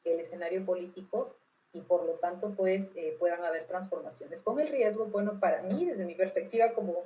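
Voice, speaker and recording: A woman's voice: lowish voice, moderate pace, warm tone, low-quality recording. A woman from Peru with a Peruvian accent, explaining things informally as an interviewed expert, in a didactic way with frequent tag questions. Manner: didactic, often checking understanding with tag questions. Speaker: political expert being interviewed.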